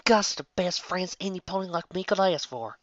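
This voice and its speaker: A woman's voice: lowish voice, normal speed, dry recording, pitched above the performer's own voice, natural voice. A woman voicing an earnest farm pony mare, in a dull lo-fi home recording. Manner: earnest, emotional, folksy.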